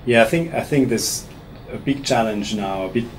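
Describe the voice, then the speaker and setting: A man from Switzerland in his thirties, a professor answering interview questions in a small office in a measured way, often restarting his words. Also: mid-range voice, poor audio. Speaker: actuarial professor being interviewed. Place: small office meeting room, seated at a table. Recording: room recording with audible air conditioning hum.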